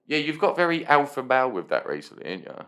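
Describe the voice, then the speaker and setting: A man with a booming voice, co-hosting a podcast with joking, teasing banter and casual swearing. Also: sing-song, fast talker, bright, forceful tone. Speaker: podcast co-host.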